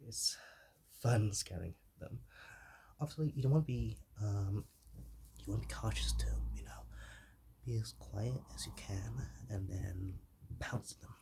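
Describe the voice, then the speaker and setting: A man playing a shy, awkward, gentle zombie in an ASMR roleplay, speaking softly and hesitantly and drifting into whispers. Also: fairly low pitch, a little slow, gravelly, pauses often, slightly rough, full character voice. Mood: gently coaching. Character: shy, awkward, soft-hearted young male zombie.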